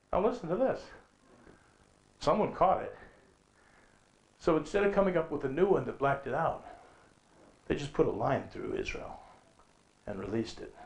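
A man's voice: fairly high pitch, creaky, a little hiss or hum. A middle-aged man preaching from a lectern on stage at a conference, emphatic and full of rhetorical questions, his voice rising when he quotes scripture. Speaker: conference speaker. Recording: echoey hall.

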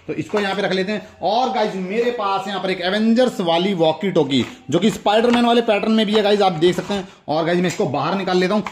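A man's voice: high-pitched, quick pace, hardly any pauses, a little hiss or hum. An Indian man hosting a toy review, enthusiastically showing items and repeatedly addressing his viewers. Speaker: toy review channel host. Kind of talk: excited toy unboxing commentary.

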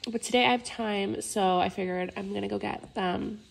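A young woman vlogger chatting casually to camera with a stuffy, congested, raspy voice, gushing and filling pauses with "like" and "yeah". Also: mid-range voice, fairly even intonation, poor audio. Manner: bubbly, gushing, many fillers like "yeah" and "like". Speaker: lifestyle vlogger.

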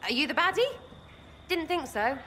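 A woman's voice: high-pitched, big pitch swings, normal speed, bright, forceful tone, a little hiss or hum, exaggerated voice. An actress playing a brash, irreverent young female elf archer in a video game, with an English accent and a slangy, cheeky, scattered delivery. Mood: defiant.

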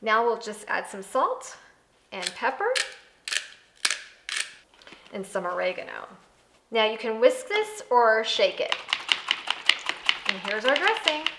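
A woman's voice: a little high, sing-song, slow, long pauses, noisy background. A woman in her thirties with an American accent, explaining a recipe step by step in a conversational way as a cooking show host. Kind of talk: instructional cooking demonstration. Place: home kitchen, indoors. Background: background music.

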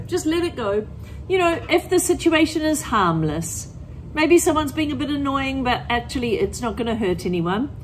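A woman's voice: high voice, slight background noise, poor audio. A middle-aged woman teacher explaining things to children in plain words and reading aloud expressively from a picture book. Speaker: primary school teacher.

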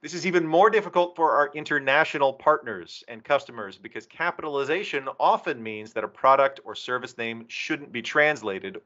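A man's voice: mid-range voice, pushed and bright. A man giving a conversational, explanatory slide walkthrough as a presenter, with emphatic phrasing, over a video call. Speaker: presenter from a software documentation team. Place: online video meeting. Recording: dull, compressed video call audio.

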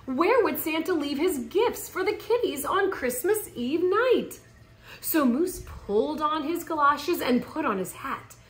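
Woman, children's read-aloud, slow pace, theatrical emphasis, swooping intonation, roomy living-room sound. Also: high-pitched. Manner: animated, theatrical, drawn-out emphasis. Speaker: teacher reading a picture book aloud. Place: living room at home, indoors. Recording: roomy home recording.

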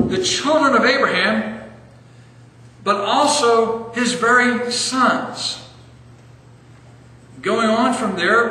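A man in his forties preaching an earnest sermon as pastor, slowing down to stress key phrases, recorded in a church sanctuary. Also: very high-pitched, bright, forceful tone. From American. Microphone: stand mic a step away, filmed from far camera. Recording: echoey church hall, distant mic.

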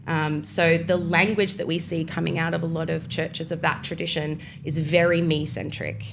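Low, gravelly-voiced young woman guest. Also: level tone, background noise. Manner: measured, explanatory, many "um" pauses. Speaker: guest on church music. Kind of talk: thoughtful interview answers. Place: studio set with armchairs. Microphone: boom-mounted podcast mic.

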